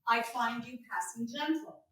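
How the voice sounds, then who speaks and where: Woman as cocky shakespeare suitor, bright high voice, declaiming big, really echoey room. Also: animated, moderate pace. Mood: teasing, wooing. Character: brash, bold male suitor bent on taming his bride. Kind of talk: staged reading of Shakespearean comedy. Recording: very echoey room, far from mic.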